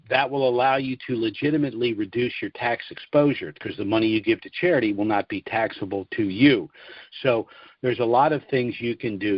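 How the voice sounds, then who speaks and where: Call host, male, measured and businesslike, lo-fi dry audio. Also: medium pitch, no room sound. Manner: businesslike, spells out contact details slowly. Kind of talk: conference call Q and A.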